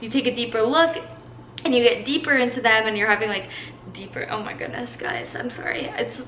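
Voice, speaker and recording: A woman's voice: on the high side, brisk, pushed and bright, roomy sound, a little hiss or hum, rough recording. A woman in her twenties, a fitness coach whose first language is Portuguese, giving tips in a chatty, upbeat Q&A with lots of "like"s. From Brazil. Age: 20s. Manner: chatty, filler-heavy with many "like"s, upbeat. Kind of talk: casual Q&A monologue.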